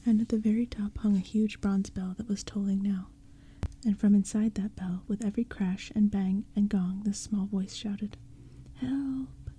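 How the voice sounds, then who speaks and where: A woman narrating a storybook in a soft, hushed whisper. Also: mid-range voice, level tone, moderate pace, a little hiss or hum.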